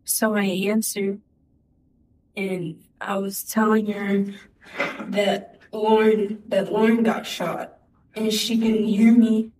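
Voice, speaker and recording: Female witness, slow and flat testimony, halting with fillers, dry sound. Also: medium pitch, breathy edge, poor audio. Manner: halting and hesitant, lots of "like" and restarts. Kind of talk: courtroom testimony.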